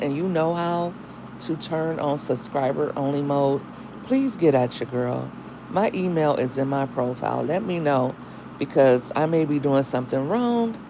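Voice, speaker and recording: Deep-voiced chatty woman on a phone livestream. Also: background noise. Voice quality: deep husky morning voice. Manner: chatty, drawling, slangy asides to viewers. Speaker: single mom content creator. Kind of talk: casual livestream chat.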